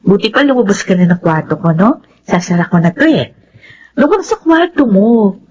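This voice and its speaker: A woman's voice: mid-range voice, big pitch swings, normal speed, a little mellow, muffled recording, a little hiss or hum, lightly acted. A woman acting a carefree, cheeky young older sister in a Filipino radio drama, with a breezy, teasing, casual delivery. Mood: breezy, affectionate. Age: young adult.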